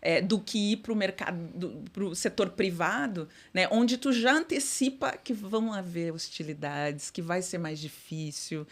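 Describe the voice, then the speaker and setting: A middle-aged woman with a low voice talks through her ideas as an interview guest. She is thoughtful and halting, with frequent fillers and restarts. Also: expressive. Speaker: guest executive. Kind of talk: conversational interview.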